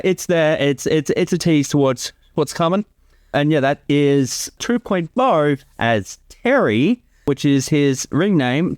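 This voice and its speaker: A man talks through creator commentary in a loose, chatty way. His speech is informal and peppered with casual swearing, and the recording is dry, with a middling pitch. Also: dry recording. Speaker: co-creator giving commentary on own fan series.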